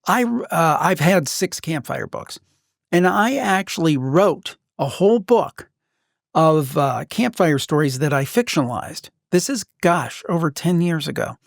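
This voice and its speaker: A man's voice: a little high, lively intonation, unhurried, vocal fry, dry recording, clean studio sound. A man hosting a podcast, speaking conversationally as an interviewer who shares asides of his own. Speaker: podcast host.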